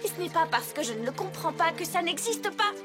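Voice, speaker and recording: Earnest young unicorn pony, high, expressive, a touch formal. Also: female voice, fairly quick. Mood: resigned. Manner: earnest, articulate, a bit formal. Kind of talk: French cartoon dub. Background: cartoon music.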